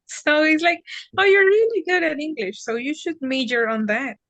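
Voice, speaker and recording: A young woman from Mexico with a Mexican accent, a student teacher speaking in a lively, animated way in a speaking exam. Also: sits high, normal speed, no room sound, dull audio. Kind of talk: exam conversation.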